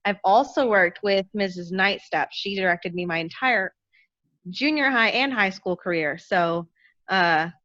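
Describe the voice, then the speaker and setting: A woman's voice: mid-range voice, a little slow, sharp tone, no echo. A young adult woman chatting casually on a video call as she introduces herself as an actor. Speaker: actor and understudy reading stage directions. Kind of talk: casual chat. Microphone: video call microphone. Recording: dull, lo-fi video call audio.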